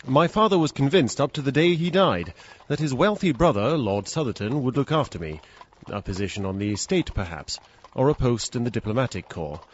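Actor as animated, well-spoken British gentleman narrator. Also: medium pitch, brisk, natural voice. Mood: bitter, worried. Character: polite, anxious, impecunious English gentleman narrator. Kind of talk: dramatic first-person narration and dialogue. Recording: dull, faintly noisy recording.

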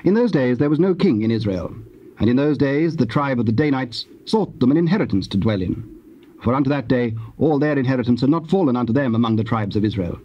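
Male narrator, measured scripture reading, mid-pitched and husky, muffled cassette sound. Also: darkish tone. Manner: measured, formal. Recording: dull old cassette audio.

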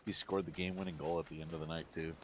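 A very deep-voiced man from New Hampshire chats on voice chat during a game. He sounds easygoing and laughs often, and clear background noise runs under his voice. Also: flat delivery, rapid-fire. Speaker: teammate. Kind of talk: casual gaming chat.

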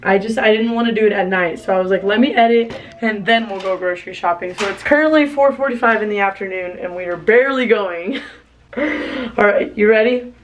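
A woman's voice: mid-range voice, fairly quick, far from the mic, a little hiss or hum, rough recording. A tired young woman, a family vlogger, chatting casually and rambling to the camera from a car, her voice worn and fading. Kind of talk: casual vlog chat to camera.

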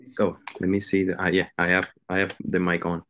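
A man's voice: sits low, monotone, fairly quick, a bit bright. A middle-aged man from Venezuela, an engineering professor answering a panel question over a video call, earnest and often restarting his phrases.

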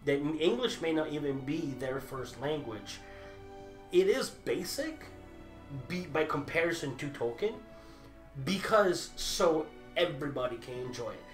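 A man's voice: a little high, measured pace, soft background music. A man in his thirties whose first language is Italian, arguing in a casual debate with lots of restarts. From grew up overseas, Italian at home. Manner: animated, gestures a lot, many restarts. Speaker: debater for the Harry Potter side. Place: small indoor room full of movie memorabilia. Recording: echoey room.

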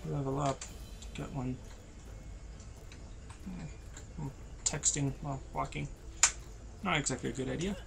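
Tired young man with a gravelly voice. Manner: low-energy, rambling with many fillers. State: tired, losing his voice. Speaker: gameplay commentator. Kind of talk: casual let's-play commentary.

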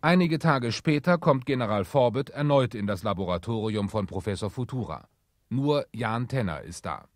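A man's voice: mid-range voice, animated, normal speed, lightly acted. A man narrating a children's audio drama in a scripted storytelling style.

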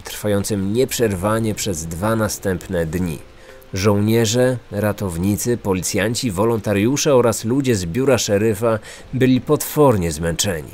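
A man's voice: on the low side, a little mellow, slight background noise. A man narrating a scripted true-crime podcast as its host. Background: faint music.

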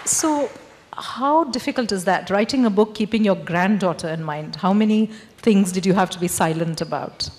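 A woman's voice: mid-range voice, normal speed, a little mellow, long reverb tail, a little hiss or hum. A middle-aged woman moderating a literature festival panel, speaking articulately into a handheld mic on a large stage. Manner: articulate, framing questions. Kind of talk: panel discussion.

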